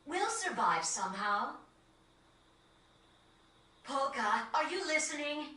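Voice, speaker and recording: A woman playing a gentle, worried village mother, pleading softly in a video game cutscene. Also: sits high, big pitch swings, slow pace, exaggerated voice. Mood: tender, worried. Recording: echoey, distant game audio.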